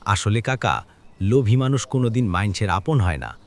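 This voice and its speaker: Male actor as cynical, preachy villager, rural Bangladeshi accent, very varied pitch, faint background noise. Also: very animated, moderate pace. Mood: cynical, earnest. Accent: rural Bangladeshi Bengali. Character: frank, cynical younger village man, earnestly moralizing. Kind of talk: cartoon village drama dialogue.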